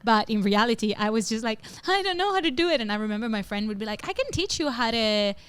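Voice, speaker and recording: Lively woman co-host telling stories. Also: fast talker, clean tone, no room sound. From northern Italy. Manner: animated storyteller, jumps into high acted quotes. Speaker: podcast co-host. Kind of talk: casual chat.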